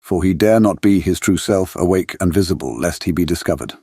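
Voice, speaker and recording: A learned older professor with a fairly low voice sets out his reasoning gravely and formally. The delivery is deliberate gothic audiobook drama, captured close and dry. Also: animated, mellow, dry recording. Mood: grave, resolute. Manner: deliberate, formal, oddly phrased explaining.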